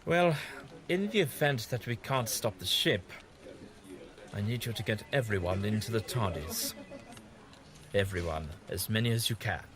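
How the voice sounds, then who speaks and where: A man's voice: on the low side, animated, moderate pace, pauses often, fairly bright tone. A man playing a whimsical, kindly, evasive time-travelling adventurer in an audio drama, with fussy and hesitant politeness. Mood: gentle, hesitant.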